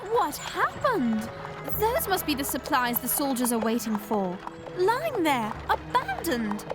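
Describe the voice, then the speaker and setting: A crisp young reporter. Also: female voice, high-pitched, wildly swooping pitch, slow pace, over music, exaggerated voice. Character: earnest young woman reporter.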